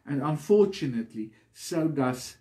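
Slow, mellow male preacher. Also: a little high, expressive. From South Africa. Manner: earnest, deliberate, pausing between short phrases. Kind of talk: devotional sermon.

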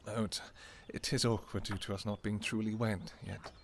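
A man's voice: on the low side, flat delivery, moderate pace, slight background noise, close to an everyday voice. A man playing a devoted royal vampire king in a romantic fantasy roleplay, speaking formally and intimately to his lover. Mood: wry, exasperated. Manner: formal, archaic, intimate phrasing.

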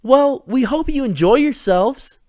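A man's voice: extremely high pitch, expressive, a little slow, darkish tone, no room sound, clean studio sound, pitched above the performer's own voice. A man voicing a cheerful, welcoming young male host, exuberant and polite.

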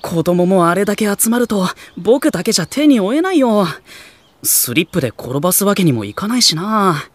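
A man's voice: high voice, big pitch swings, brisk, no echo. A man playing a mild-mannered, good-natured teenage boy in an anime audio drama, speaking casually. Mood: exasperated. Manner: casual, gently exasperated.

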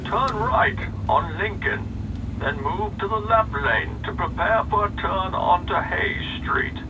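An older actor playing a kindly, rambling old fantasy sage from a video game, speaking in a measured, grandfatherly storytelling manner. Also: high voice, animated, heavily acted. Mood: kindly, instructive. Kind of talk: fantasy game character narration. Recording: muffled, distant sound with clear background noise.

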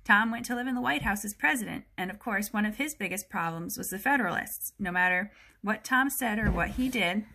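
Female, teacher doing an expressive picture-book read-aloud, mid-pitched, clear explanatory delivery for kids. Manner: expressive, explanatory, pauses to question listeners.

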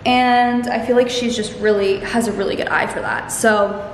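A woman's voice: mid-range voice, fairly even intonation, normal speed, slight background noise. A young woman in her twenties chatting casually as she walks the camera through an empty house, full of "like" and "you know". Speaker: vlogger giving a home tour. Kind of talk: casual walk-through vlog. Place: empty house, bare rooms. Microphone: handheld camera at arm's length. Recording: echoey empty rooms.

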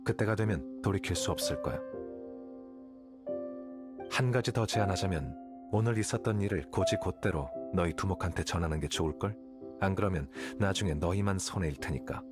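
Game role: cool, calculating young male scholar, played by a man, deep and measured, condescending, over clear music. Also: natural voice. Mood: cool, threatening. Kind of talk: video game voice acting.

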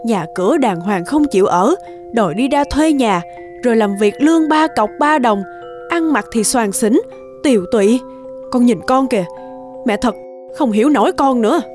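A woman voicing a middle-aged, well-off mother in an animated moral tale, insistent and nagging. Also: on the high side, moderate pace, faint music behind, natural voice. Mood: reproachful. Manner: reproachful, insistent.

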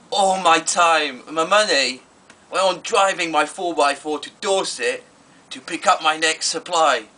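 A man playing a wretched man ruined by drink, giving a halting, rueful confession in a mock documentary interview. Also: on the high side, bright, forceful tone, far from the mic.

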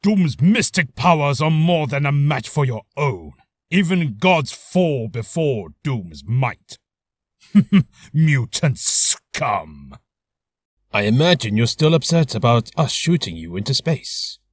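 A man's voice: mid-range voice, big pitch swings, takes it slowly, slightly rough, no room sound, clean studio sound, exaggerated voice. A man voicing a pompous, imperious tyrant who declaims with grand scorn, as in a video game. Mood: scornful. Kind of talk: video game character voice reel.

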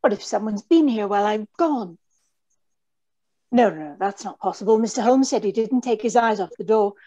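A woman's voice: mid-range voice, animated, normal speed, mellow, lo-fi sound, close to an everyday voice. A woman playing a frightened, earnest young lady in an old-time radio mystery, with a breathless, hesitant manner. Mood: uneasy. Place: indoor room on a video call.

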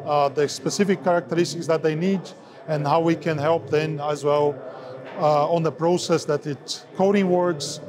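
A man in his forties speaking haltingly with many fillers, interviewed at a trade fair on a lapel mic. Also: fairly high pitch, fairly even intonation. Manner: halting, many filler sounds, slightly non-native phrasing. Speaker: sales head of an ink and coatings firm. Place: busy trade fair hall, indoors. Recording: crowd noise of a trade fair. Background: crowd chatter.

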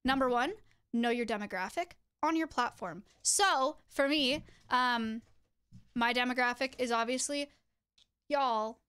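A woman's voice: a little high, measured pace, a bit bright, clear, resonant tone. A young woman streamer chatting to her viewers, giving tips in a teacherly, chatty way. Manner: chatty, teacherly, addresses chat directly. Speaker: streamer host.

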